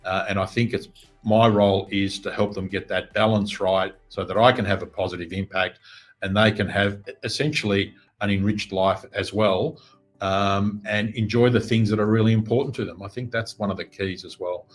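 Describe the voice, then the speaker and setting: Middle-aged man, lowish voice, earnest business coach, faint music underneath. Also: normal speed. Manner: steady, earnest, reflective. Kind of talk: interview answers.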